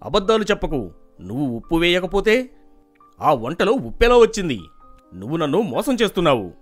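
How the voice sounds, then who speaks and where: Man acting a bossy landlord, animated and exclamatory. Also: fairly high pitch, brisk, faint music behind. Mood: outraged. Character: imperious village landlord, effusive when pleased, quick to rage. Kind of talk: Telugu animated moral story dialogue.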